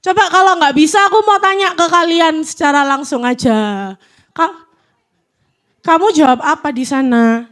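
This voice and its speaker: Young woman, mid-twenties, high voice, casual church speaker, playful and a bit nervous. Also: normal speed, fairly bright tone. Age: 20s. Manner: chatty, self-deprecating, nervous. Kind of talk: casual youth sermon with audience interaction. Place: church hall, live audience.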